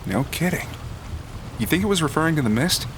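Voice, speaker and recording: A man playing a wisecracking, tender, self-mocking adventurer in an audio drama, talking in a halting, wry, conversational way. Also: fairly high pitch, animated, fairly bright tone, a little hiss or hum, poor audio. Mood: wry, teasing. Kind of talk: fan audio drama acting.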